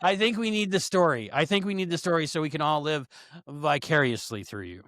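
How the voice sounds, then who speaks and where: Casting: podcast co-host, man, slightly high pitch, dry close sound, teasing jokester. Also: expressive, brisk, fairly bright tone, no echo. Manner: teasing, jokey asides. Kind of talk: casual chat.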